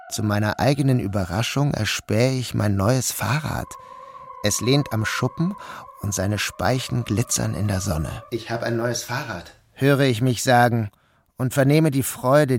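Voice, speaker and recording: A man narrating a literary novel in the first person, in a measured, subdued, dreamlike tone. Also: mid-range voice, slight background noise. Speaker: audiobook narrator.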